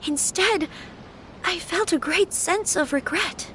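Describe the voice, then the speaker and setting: High-voiced young noblewoman, troubled but earnest. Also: slow pace, bright, forceful tone, slight background noise, heavily acted. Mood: troubled, reflective. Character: gentle young noblewoman, troubled yet firm, in anime fantasy. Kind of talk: anime game voice acting.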